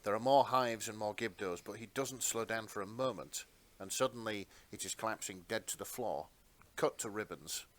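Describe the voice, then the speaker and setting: A man's voice: on the low side, moderate pace, a bit bright. A man narrating a piece of fan fiction as a dramatic story reading.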